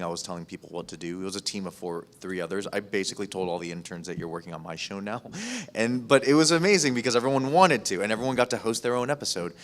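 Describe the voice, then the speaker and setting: A man with a low, deep voice speaks casually on a career panel. He comes across as a podcast producer telling personal anecdotes in everyday conversational language. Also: a bit bright.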